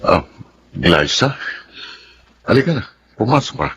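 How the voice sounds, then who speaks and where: A man's voice: on the low side, sing-song, a little slow, a bit bright, breathy edge, full character voice. A man with a Filipino accent playing a cool, unrepentant lover in a radio drama. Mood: calm. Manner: dismissive, defensive. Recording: noisy track with background ambience.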